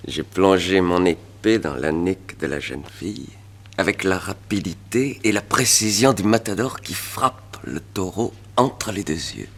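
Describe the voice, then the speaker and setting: Slow, gravelly, sinister hired killer. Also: male voice, low voice. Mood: gloating, relishing. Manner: slow, savouring, menacing. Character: sinister gloating hired killer, a mysterious man. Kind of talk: 1960s French radio drama acting. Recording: old 1960s radio drama recording.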